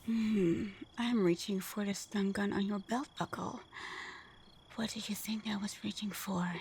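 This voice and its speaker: A woman's voice: medium pitch, a little mellow, a little hiss or hum. A woman playing a sly, flirtatious supervillain in a scripted ASMR roleplay, murmuring breathily with teasing hums. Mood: teasing, sarcastic. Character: flirtatious, sly female supervillain teasing her hero nemesis.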